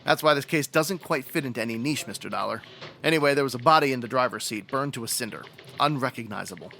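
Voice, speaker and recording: An actor playing a matter-of-fact police sergeant in an old-time radio detective drama, with a dry, procedural, folksy way of talking. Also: male voice, mid-range voice, fast, vocal fry, sharp tone, soft background music.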